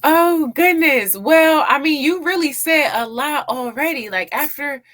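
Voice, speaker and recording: A middle-aged woman from Washington, D.C., answering casually as a talk-show guest in an expressive, emphatic, conversational way. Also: sits high, a little slow. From Washington, D.C., United States. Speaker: interview guest, PR agency owner.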